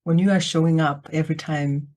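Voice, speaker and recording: A woman from Botswana, a native Setswana speaker, speaking as a podcast guest in a slow, calm, reflective way that addresses the listeners directly. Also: low-pitched. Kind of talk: guided reflective invitation. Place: remote, in Germany.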